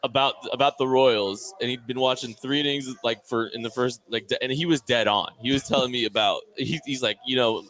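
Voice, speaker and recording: A man's voice: medium pitch, fairly even intonation, brisk, few pauses, a bit bright, low-quality recording. A man hosting a live draft stream from a sports bar, chatting casually, laughing often and announcing picks.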